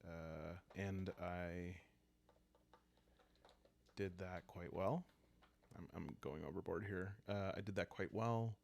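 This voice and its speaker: Man, low creaky voice, unhurried, calm, soft-spoken, halting, solo roleplay ASMR. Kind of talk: sleepy ASMR roleplay narration, improvised.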